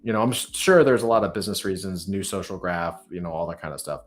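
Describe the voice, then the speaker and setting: Low-voiced man musing in a chat. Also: quick pace. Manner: restarts and repeats words, frequent "right?". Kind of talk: casual podcast conversation.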